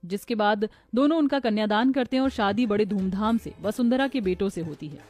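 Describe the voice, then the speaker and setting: A woman's voice: medium pitch, brisk, darkish tone, dry recording, faint music behind. A woman narrating a scripted moral story for a children's cartoon.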